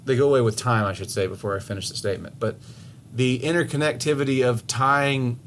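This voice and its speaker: A man of middle pitch co-hosting a laid-back podcast, rambling and restarting his sentences, swearing freely. A faint hiss of noise sits under his voice. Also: a little fast. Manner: rambling, restarts often, swears, animated when telling stories. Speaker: podcast co-host. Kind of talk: casual podcast chat.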